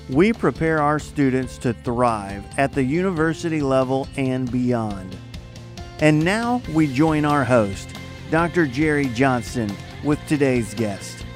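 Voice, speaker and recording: A man reading a polished, promotional scripted intro for a university podcast. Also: medium pitch. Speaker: announcer.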